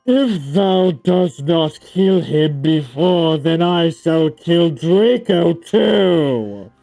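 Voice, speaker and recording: Someone doing a slow, raspy evil dark lord. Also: medium pitch, expressive, no room sound, faint music behind, pitched below the performer's own voice, rough recording. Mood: menacing. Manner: mock-archaic, commanding, slow menace. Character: evil dark lord, menacing male villain, archaic speech.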